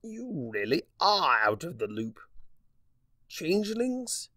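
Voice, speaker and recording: A man's voice: fairly high pitch, big pitch swings, takes it slowly, sharp tone, no echo, voice pushed up, full character voice. A man acts out a mischievous male chaos spirit from a fantasy storybook. The voice leaps upward in sardonic, theatrical swoops. It drawls with grand, smug condescension, very close and dry. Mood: condescending.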